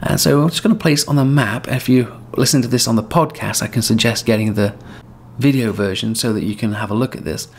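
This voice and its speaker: A man's voice: medium pitch, brisk, a little hiss or hum. A man hosting a podcast, chatting casually as he walks through a home security camera demonstration and gives advice. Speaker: podcast host. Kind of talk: casual product demo and advice talk. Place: outdoors at home driveway, then indoors.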